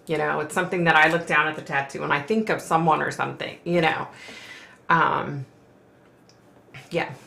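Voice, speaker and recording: A middle-aged woman hosting a casual livestream from home, reading viewer comments aloud and reacting in a chatty, rambling way while her voice goes hoarse. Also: low-pitched, pushed and bright, echoey room. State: losing her voice.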